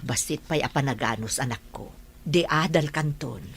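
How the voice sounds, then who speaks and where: A woman's voice: low voice, moderate pace, husky. A woman with an Ilocano accent playing a caring, devout mother in a radio drama. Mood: tender, encouraging. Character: caring, devout mother encouraging her child.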